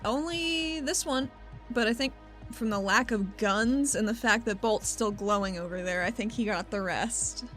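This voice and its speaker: High-voiced woman playing a tabletop game. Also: normal speed, a bit bright, soft background music. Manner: wry, informal. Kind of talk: casual roleplay chat.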